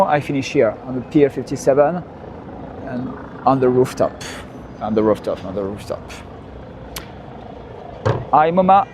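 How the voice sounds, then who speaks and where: Chatty French man on a noisy street. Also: medium pitch. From France. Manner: chatty, repeats phrases, frequent "you know" asides. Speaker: walking tour livestream host. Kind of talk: live walking-tour commentary. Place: outdoors on busy city streets. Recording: loud street traffic behind the voice. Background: city traffic and street noise.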